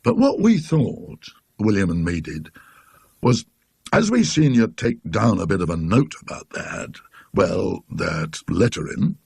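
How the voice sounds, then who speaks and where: A man's voice: sits low, big pitch swings, unhurried, creaky, darkish tone, breathy edge, no echo, studio quality, heavily acted. A man voicing a talkative, indignant working-class British tram conductor in a ghost story, rambling and emphatic in his colloquial speech. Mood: baffled, emphatic.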